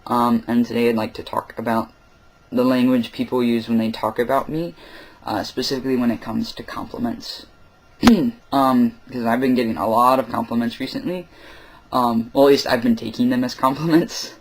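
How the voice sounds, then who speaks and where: A man's voice: mid-range voice, fairly even intonation, moderate pace, poor audio. A young man talking candidly in a personal vlog, late at night at home, rambling with lots of fillers and wry asides. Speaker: vlogger.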